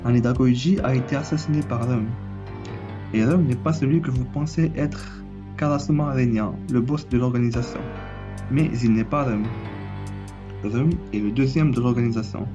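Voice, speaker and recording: A man with a slight cold hosts his own theory video. He reads a prepared script at an ordinary pitch and stumbles now and then. Clear music runs under the voice the whole time. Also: normal speed, muffled recording. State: has a cold. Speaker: anime and manga YouTuber.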